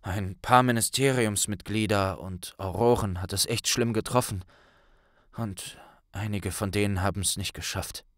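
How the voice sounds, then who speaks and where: A man's voice: lowish voice, steady tone, a little slow, no room sound, studio quality. A man playing a blunt, loyal young male wizard friend with a colloquial way of talking in an audiobook. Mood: concerned. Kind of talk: audiobook character voice.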